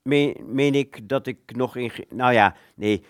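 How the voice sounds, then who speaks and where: A man playing a polite, old-fashioned gentleman in a radio play, formal and hesitant, with small stammers. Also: medium pitch, measured pace, dry recording, studio quality, natural voice. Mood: hesitant, fussy.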